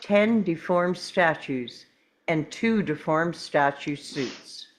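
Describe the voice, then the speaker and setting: A woman narrating scripted stage directions at a table read, measured, with dramatic pauses. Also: sits low, animated, a little hiss or hum.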